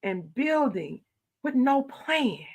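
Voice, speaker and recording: A middle-aged woman, a county commissioner talking emphatically in an interview, with a loud voice. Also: takes it slowly. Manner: emphatic, animated storytelling with rising pitch when stressing points. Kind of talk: interview conversation.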